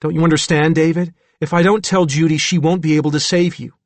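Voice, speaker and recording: A man's voice: fairly high pitch, fairly even intonation, fast, no room sound, pitched above the performer's own voice, close to an everyday voice. A man reading an audiobook, voicing a woman psychologist who reasons with an earnest, persuasive manner.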